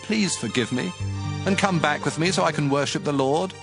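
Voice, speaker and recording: A man's voice: on the high side, normal speed, creaky, music in the background. An actor playing a defensive, pleading king in a dramatized scripture reading, earnest and agitated. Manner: earnest, agitated, self-justifying.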